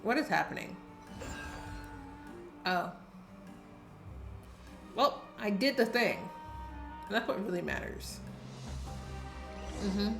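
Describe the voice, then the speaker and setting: A woman's voice: medium pitch, expressive, slow, vocal fry, pauses often, roomy sound. A woman livestreaming a video game with casual, wry and flippant rambling commentary. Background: game music.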